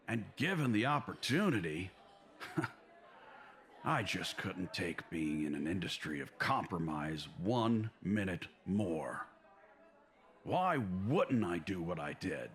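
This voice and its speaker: A man playing a grieving but resolute older newsman and fatherly uncle in a comic dub, measured and earnest. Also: sits low, fairly bright tone, exaggerated voice. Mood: somber, resolute. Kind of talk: dramatic comic dub acting.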